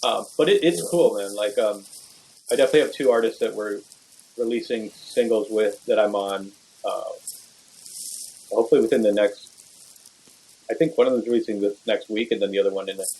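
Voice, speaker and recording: A man's voice: lowish voice, brisk, pauses often, a little mellow, slight background noise, rough recording. Laid-back man, rambling casual talk. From Venezuela. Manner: rambling, full of "like" and "you know". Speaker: guest bass player. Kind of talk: casual podcast interview.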